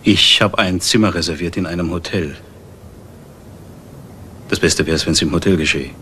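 An actor playing a cold, businesslike man who orders a killing in a crime radio play, measured, formal and matter-of-fact. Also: low voice, sharp tone, slight background noise.

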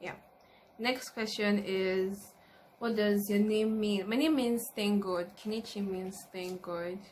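A woman's voice: medium pitch, steady tone, slow pace, a little mellow. Casting: woman, early twenties, Nigerian accent, informal YouTuber chat, home recording with audible background noise. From Lagos, Nigeria. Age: early 20s. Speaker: YouTuber, university student. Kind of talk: casual chat to camera, question and answer. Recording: noticeable background noise, room ambience.

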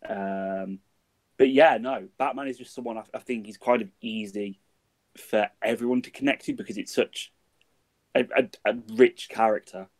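Man, interview guest, somewhat low pitch, informal, meandering answers. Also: fairly even intonation, moderate pace. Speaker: guest, audio drama writer.

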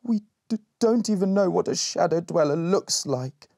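A fearful young storybook elf boy, acted out by a man. The voice is high and husky, hesitant and stammering. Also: expressive, moderate pace, darkish tone, no room sound, clean studio sound, full character voice. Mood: frightened.

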